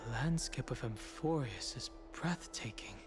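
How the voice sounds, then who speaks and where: An actor playing a calm, thoughtful young man who speaks in a measured, polite way. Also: mid-range voice, natural voice. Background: game music.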